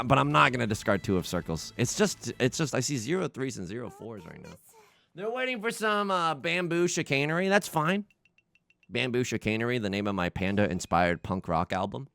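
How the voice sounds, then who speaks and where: Young man, excitable gameplay commentator; lively up-and-down delivery, jokey, trips over restarts, faint game music underneath. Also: on the low side, vocal fry. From China, raised in the US. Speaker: let's play host.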